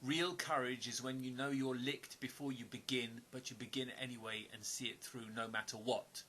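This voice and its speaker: A man acting in an audio play as an observant stranger with a hidden past, speaking in an earnest, deliberate, sometimes hesitant way. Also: medium pitch, steady tone, pushed and bright, slight background noise, lightly acted. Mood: challenging.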